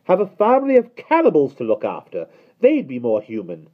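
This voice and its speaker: A man voicing a stern, sharp-tongued nanny with a clipped, haughty manner. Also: mid-range voice, wildly swooping pitch, moderate pace, breathy edge, narrow, tinny audio, voice pushed up.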